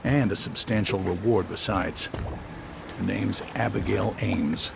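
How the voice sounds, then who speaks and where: A shady informant with a very gravelly, low voice. He talks hushed and conspiratorial. Clear noise of the surroundings is audible behind him. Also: unhurried.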